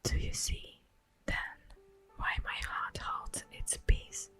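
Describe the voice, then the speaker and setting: A woman playing a solemn, tender elf guardian of the forest in a breathy, whispered ASMR roleplay, speaking formally and poetically. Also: sharp tone, soft background music. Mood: sorrowful, tender. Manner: slow, formal, poetic, drawn-out delivery.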